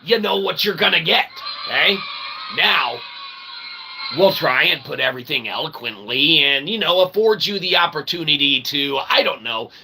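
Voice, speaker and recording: A man giving a rambling philosophical monologue as a channel host, with a gravelly voice and wordy, mock-formal phrasing broken by folksy, emphatic check-ins. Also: fairly high pitch, lively intonation, normal speed. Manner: emphatic, often checking in with "okay" and "right". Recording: clear background ambient noise.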